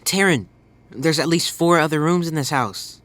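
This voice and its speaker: A man's voice: on the high side, normal speed, a bit bright, close to an everyday voice. Earnest, insistent young man as teenage boy. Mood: annoyed. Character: earnest, nagging teenage boy, annoyed stepbrother. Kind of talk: audio drama dialogue.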